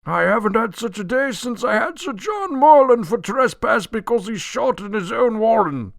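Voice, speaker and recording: A litigious old gentleman in a radio play. The voice is high and gravelly, swooping widely as he declaims. He is pompous, crotchety and forever indignant. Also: lively intonation, normal speed, dry recording, clean studio sound, full character voice. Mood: boastful, triumphant. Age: older. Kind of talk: radio drama acting.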